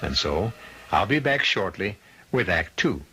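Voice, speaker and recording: A man's voice: deep voice, sing-song, unhurried, noisy background. A man hosting and narrating a suspense radio drama in a wry, macabre, theatrical manner, fond of puns. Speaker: host and narrator of a mystery radio show.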